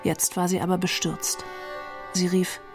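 A woman's voice: lowish voice, flat delivery, a little slow, soft background music. A woman reading a literary radio feature as a young male refugee narrator, proud, wry and detached. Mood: detached, dry. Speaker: reader of a literary novel.

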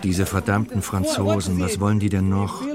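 A man's voice: low voice, level tone, moderate pace, a loud crowd. A man reading a translated voice-over for a documentary.

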